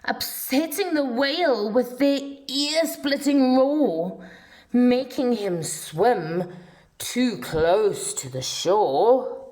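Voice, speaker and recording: A woman reading a rhyming children's picture book aloud in an expressive, dramatic way with drawn-out phrasing. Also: fairly high pitch.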